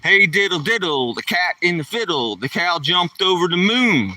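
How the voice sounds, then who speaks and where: A man in his sixties rambles in a folksy, slang-filled way while livestreaming on a walk with his dog. His voice is bright and forceful, sits a touch high for a man, and sounds dry. It sometimes drifts away from the handheld phone mic. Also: big pitch swings, moderate pace, no room sound, recorded from a distance. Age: 60s. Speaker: live streamer. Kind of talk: casual live-stream chat while walking his dog. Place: outdoors on a neighborhood walk.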